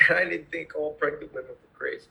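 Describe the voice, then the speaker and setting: A woman's voice: low-pitched, gravelly, pushed and bright, heavily acted, rough recording. A woman playing a bitter, foul-mouthed male tax auditor in a screenplay table read, irritable and sarcastic.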